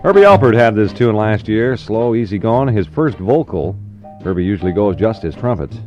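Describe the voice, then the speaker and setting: Low-voiced easygoing American radio DJ man. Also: expressive, moderate pace, over music. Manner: easygoing, chatty, folksy asides. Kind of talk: 1960s middle-of-the-road radio DJ patter. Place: radio studio. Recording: dull, roomy 1960s AM radio aircheck.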